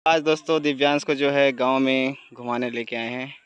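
A man's voice: medium pitch, fairly even intonation, normal speed, crowd noise. Chatty man vlogging outdoors on a phone. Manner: chatty, addresses viewers directly. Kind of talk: casual travel vlog narration. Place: open village fields at dusk, outdoors. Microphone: phone held at arm's length.

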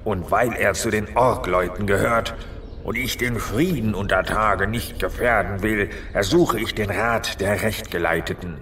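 An actor playing a devout, courteous underground trapper creature in a fantasy audio drama, speaking formally. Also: male voice, fairly low pitch, unhurried, a bit bright, slight background noise. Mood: solemn, courteous.

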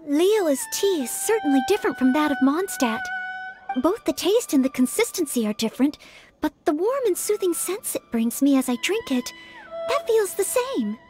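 A woman voicing an earnest, gentle young maid who hopes to be a knight, polite and humble, in an anime-style video game. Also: very high-pitched, wildly swooping pitch, a little slow, music in the background, heavily acted. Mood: gentle, reflective.

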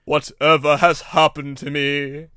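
Man voicing a loud pompous steam engine, bellowing. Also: on the high side, sing-song, slow, no echo, full character voice. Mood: moaning. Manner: bellowing, moaning, drawn out. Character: big pompous boastful male express steam engine.

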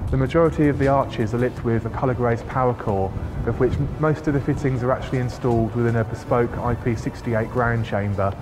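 A man's voice: mid-range voice, steady tone, a little fast, few pauses, background noise. A man, explaining a project in an interview as a consultant. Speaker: lighting consultant. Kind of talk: interview explanation for a case study video. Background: music.

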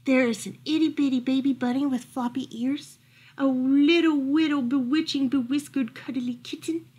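A woman reading a children's picture book aloud at home in an animated storytelling style. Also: high voice, moderate pace. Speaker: librarian reading bedtime stories. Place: furnished room at home, indoors.

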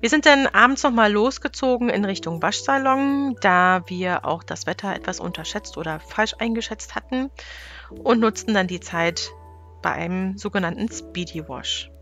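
Woman, travel vlog voice-over, chatty matter-of-fact narration, medium pitch, faint music underneath. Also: moderate pace, fairly bright tone. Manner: chatty, matter-of-fact storytelling with small restarts. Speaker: travel vlogger. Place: voice-over, recorded apart from the pictured scenes.

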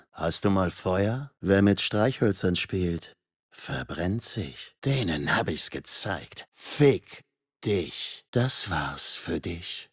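A menacing game villain, a masked killer with a deep, rough voice. He taunts his victims with swooping intonation and dry, cruel mockery. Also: a bit bright, no echo, heavily acted. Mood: cold, menacing. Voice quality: raspy, husky. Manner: sardonic, taunting, drawn-out menace. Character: masked male slasher killer, mocking, menacing, foul-mouthed. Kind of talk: video game combat voicelines.

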